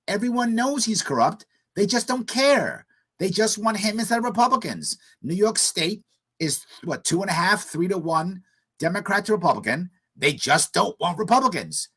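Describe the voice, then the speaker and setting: A hoarse man hosting a call-in radio talk show, giving emphatic political commentary in short punchy statements. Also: high-pitched, sharp tone. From United States.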